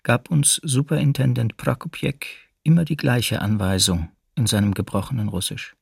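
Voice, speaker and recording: A male camp survivor gives sober testimony for a radio documentary drama. His voice is heavily creaky and husky, recorded very dry and close. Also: mid-range voice, fairly even intonation, moderate pace, no room sound. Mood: grave recollection.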